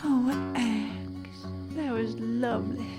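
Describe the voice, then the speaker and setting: A woman's voice: on the high side, fairly even intonation, slow, loud music behind the voice. A woman playing a loving rancher's wife, speaking tenderly in a Western audio drama. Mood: touched, loving.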